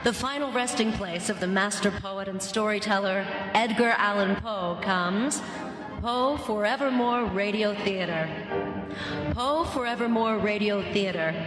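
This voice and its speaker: A woman announces a radio theatre programme in formal, showy fashion. Her medium-pitched voice carries through an echoey hall over clear music. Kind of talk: scripted radio theatre introduction.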